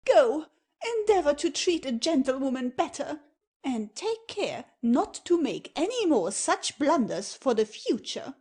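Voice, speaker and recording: A woman playing a haughty gentlewoman mother-in-law, scolding in a classic comedy audio play. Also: sits high, very animated, a little slow.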